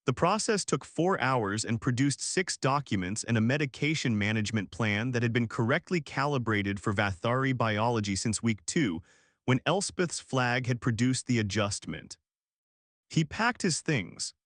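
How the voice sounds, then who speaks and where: A man narrates a story in a measured, steady storytelling style. His pitch moves a lot across each sentence. The recording is dry and close. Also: dry recording. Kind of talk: scripted audiobook narration.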